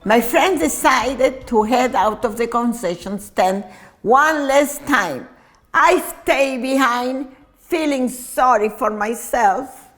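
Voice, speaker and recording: A woman reading a children's story aloud in an expressive, deliberate way, occasionally stumbling over words. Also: a little high. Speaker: storytime reader.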